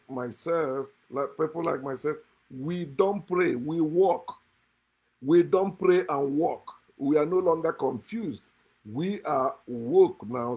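A man's voice: on the high side, a little mellow, breathy edge, poor audio. A middle-aged man, seated indoors, lectures emphatically to a close phone camera, hammering home points with repetition like a preacher. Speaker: host.

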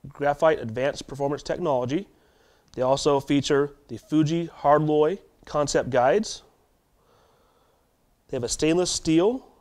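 A man in his thirties hosting a tackle shop video, running through product specs in a steady delivery with small restarts, in a warehouse storeroom. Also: fairly high pitch. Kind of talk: product rundown to camera. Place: warehouse storeroom with shelves of boxes.

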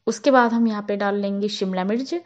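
A woman hosting a cooking channel, calmly talking viewers through a recipe step by step in a voice-over. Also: medium pitch, brisk, dull audio. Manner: calm, step-by-step instructional. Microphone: voice-over, not on camera.

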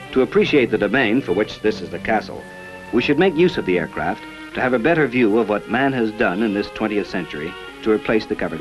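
A man reading scripted narration for an old informational film in a measured, formal manner. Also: lowish voice, poor audio. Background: background music.

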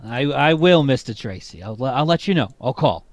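A man's voice: mid-range voice, animated, normal speed, dry recording, natural voice. A man playing a deferential, hesitant circus manager in a 1940s-style radio drama. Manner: hesitant, repeating.

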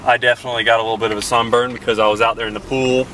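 A young man in his twenties, talking casually and quickly to the camera held at arm's length, vlogging about his workout. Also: medium pitch, little pitch movement, pushed and bright, slight background noise, poor audio. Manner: quick, chatty, loose with fillers. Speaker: fitness vlogger. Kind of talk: casual vlog narration. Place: in a car, then gym voice-over, then kitchen.

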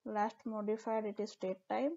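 A woman, walking through a software tutorial step by step with the odd filler. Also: mid-range voice, moderate pace, vocal fry, muffled recording. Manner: steady step-by-step explaining with fillers. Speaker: tutorial instructor. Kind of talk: screen-recorded software tutorial.

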